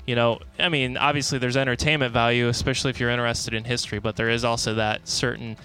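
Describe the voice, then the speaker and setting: An American man with a bright, forward voice at a middling pitch talks casually as a podcast co-host. His delivery is informal and wanders as he goes. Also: level tone, quick pace, soft background music. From United States. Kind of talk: casual conversational podcast talk.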